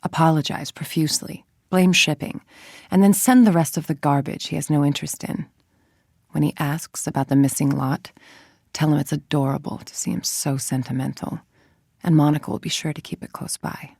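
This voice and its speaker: A calculating, middle-aged female villain scientist from a video game. Her voice is low and gravelly, recorded very dry and close. She speaks with clipped authority and cool, controlled menace. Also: fairly even intonation, moderate pace, a little mellow, no echo, full character voice. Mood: scornful, calculating.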